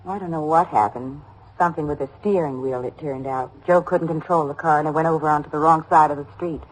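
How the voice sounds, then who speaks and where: A middle-aged American housewife, with a husky, slightly rough-edged voice. She is homely and chatty, rambling along and anxious to please, in the manner of an old radio drama. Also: sits low, a little mellow, rough recording.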